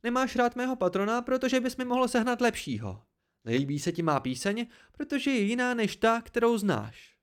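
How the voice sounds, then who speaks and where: A man voicing a proud, angry, sharp-tongued young woman in an audiobook story, with biting, indignant outbursts. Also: medium pitch, expressive, hardly any pauses, natural voice. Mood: bitter, indignant.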